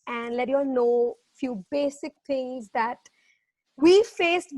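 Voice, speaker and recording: Bright, high-voiced woman from India hosting. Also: dry recording. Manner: bubbly, eager interviewer with fillers. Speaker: co-host. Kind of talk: casual chat.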